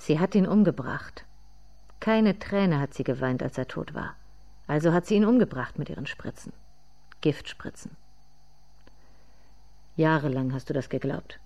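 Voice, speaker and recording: A haunted inner narrator in a literary radio play. The voice is dark and muted, with a slightly dull sound. It tells its memories in deliberate, intense fragments, addressed to itself. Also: medium pitch, animated. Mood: intense, bitter. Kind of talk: literary radio drama monologue.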